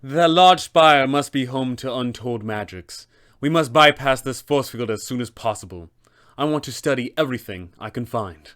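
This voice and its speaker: A man voicing a cold, scheming female wizard villain for a tabletop game, disdainful and measured. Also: medium pitch, animated, fairly bright tone, natural voice. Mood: commanding. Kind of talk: tabletop game character acting.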